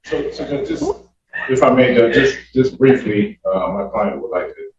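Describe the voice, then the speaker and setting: A man's voice: mid-range voice, very animated, moderate pace, gravelly, a little mellow. A man speaking as a defense lawyer in court, hesitant and deferential. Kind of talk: formal but tentative courtroom advocacy. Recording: echoey distant courtroom, muffled and noisy.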